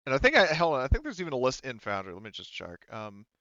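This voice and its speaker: A man's voice: medium pitch, huge pitch swings, quick pace, pushed and bright, no echo, lo-fi sound. A man running a tabletop game as game master, talking casually and accommodatingly to his players.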